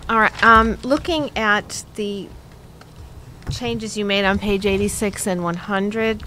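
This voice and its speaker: A woman's voice: mid-range voice, slow, sharp tone, a little hiss or hum. A woman on a school board asking probing questions about a budget, hesitant, with many fillers. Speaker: board member. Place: public meeting room.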